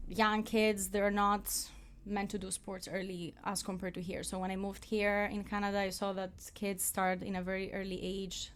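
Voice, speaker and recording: A woman in her thirties from Greece, a coach guesting on a podcast, friendly and quick to laugh with hesitant fillers. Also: mid-range voice, clear, resonant tone. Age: 30s. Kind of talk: casual podcast chat.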